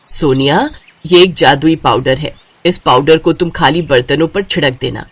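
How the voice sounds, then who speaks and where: A woman's voice: deep voice, normal speed, slightly rough, voice pushed down. A woman voicing a kindly middle-aged female magician in a story, instructing in a motherly way. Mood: earnest, instructive.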